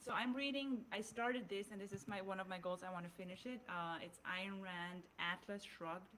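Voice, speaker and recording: A woman's voice: mid-range voice, steady tone, normal speed, fairly bright tone, slight background noise, low-quality recording. German-accented woman chatting casually. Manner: talks through ideas with frequent restarts and fillers. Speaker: podcast co-host. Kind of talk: casual chat.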